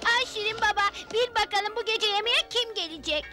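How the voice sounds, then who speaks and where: A woman's voice: extremely high pitch, a little fast, music in the background. A woman playing a tiny, sweet, trusting female forest creature in a cartoon. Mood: earnest, excited. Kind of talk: animated cartoon dubbing.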